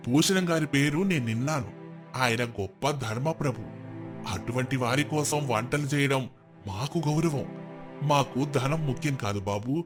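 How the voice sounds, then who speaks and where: A grave, revered village master cook, voiced by a man, teaches in a solemn, measured way. He is calm and philosophical, a storybook guru over faint music. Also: mid-range voice, natural voice. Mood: humble, gracious.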